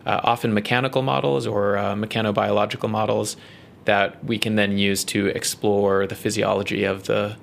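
A man with a New Zealand accent, a research scientist explaining his work in an interview, measured and full of ums and uhs. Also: fairly low pitch, flat delivery, gravelly, a little hiss or hum.

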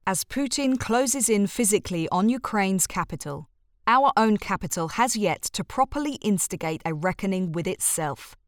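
A woman narrates a written opinion column at a middling pitch. The sound is very dry, with no room around her scripted reading. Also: animated, moderate pace, dry recording.

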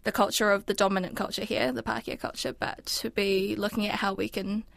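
A woman's voice: medium pitch, level tone, fairly quick, gravelly, a bit bright, dry recording. A woman, whose was Mandarin, talking thoughtfully in an interview with frequent ums. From New Zealand. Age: 20s. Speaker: interview guest. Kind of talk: casual reflective interview talk.

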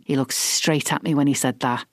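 A woman playing a possessive middle-aged mother in a confiding dramatic monologue, smug, mock-innocent and quietly malicious. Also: extremely low pitch, fairly even intonation, normal speed. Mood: sly, mock-innocent. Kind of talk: dramatic monologue, confiding fiction podcast narration.

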